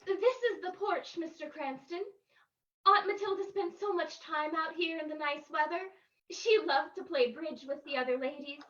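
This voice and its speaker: A woman's voice: high-pitched, normal speed, a bit bright. A woman playing a polite, anxious young woman in mourning, in an old-time radio mystery reading. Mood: polite, subdued. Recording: dull, distant microphone.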